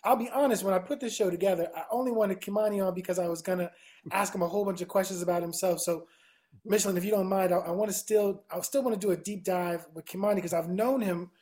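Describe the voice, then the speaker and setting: A woman's voice: fairly low pitch, quick pace, mellow. A woman hosting a talk show, chatting casually with her guests and often restarting her questions. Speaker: podcast host. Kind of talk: casual interview chat.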